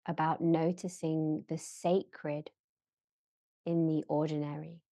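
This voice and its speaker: A woman guiding a yoga nidra relaxation in a gentle, measured manner, leaving long pauses between short phrases. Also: sits low, little pitch movement, vocal fry, dry recording, clean studio sound. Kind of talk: slow guided relaxation meditation.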